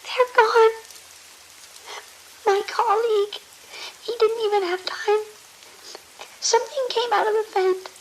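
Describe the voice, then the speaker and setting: An actress playing a terrified, trapped female doctor in a horror audio drama, halting, pleading and panicked. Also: very high voice, steady tone, low-quality recording. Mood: hushed terror. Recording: faint hiss and clicks.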